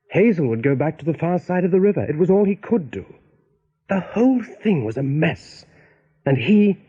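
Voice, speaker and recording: A man narrating a radio play as a dramatic storyteller, with a husky, mellow voice. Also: fairly high pitch. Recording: dull, muffled radio recording.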